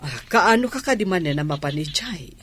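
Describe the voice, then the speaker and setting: An actress playing a trusting, worried wife in a radio drama, with an Ilocano accent. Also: female voice, on the low side, lively intonation, fairly quick, fairly bright tone, slight background noise. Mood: worried, questioning. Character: trusting, worried wife, gently defending her man. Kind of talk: Filipino radio drama acting.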